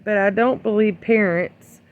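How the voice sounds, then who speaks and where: An opinion vlogger giving casual, rambling commentary in a blunt, admonishing way, with hesitant pauses. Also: medium pitch, steady tone. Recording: dull lo-fi sound.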